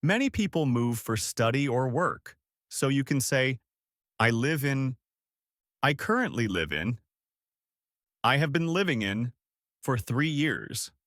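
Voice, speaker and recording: A man co-hosting a podcast English lesson, explaining example phrases in a clear, upbeat way. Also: medium pitch, sing-song, measured pace, long pauses, fairly bright tone, no echo, studio quality. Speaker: podcast co-host and English teacher. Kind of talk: scripted English lesson dialogue.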